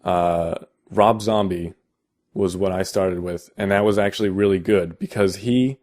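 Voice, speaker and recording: A young man with a deep voice, explaining casually in a how-to video, halting with many fillers and restarts. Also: steady tone, a little mellow. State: out of vocal shape. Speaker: vocal tutorial maker.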